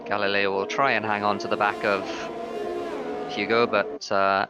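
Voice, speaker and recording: A man commentating an online racing league in a measured, analytical way, reading out gaps and lap times. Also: on the low side, little pitch movement. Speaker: lead commentator. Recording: clear background noise.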